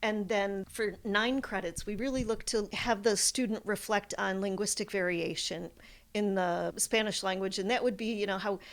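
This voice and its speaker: A woman explaining her field in an interview, in the manner of a college professor, with animated examples. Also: mid-range voice, normal speed.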